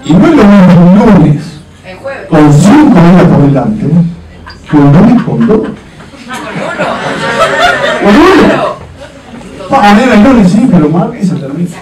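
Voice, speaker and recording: Uruguayan comedian in a roomy club. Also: male voice, high voice, takes it slowly, long pauses, far from the mic, crowd noise. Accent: Uruguayan Spanish. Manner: rambling, self-mocking, animated with gestures. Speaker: stand-up comedian. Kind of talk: stand-up routine. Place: dark comedy club stage with live audience. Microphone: handheld mic. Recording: roomy club sound, audience laughter.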